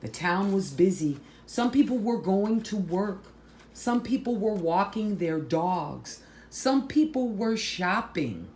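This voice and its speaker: Slow-paced woman reading a children's story. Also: medium pitch, very animated, a little mellow, slight background noise. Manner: deliberate phrasing with dramatic emphasis. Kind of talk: slow, expressive picture-book read-aloud.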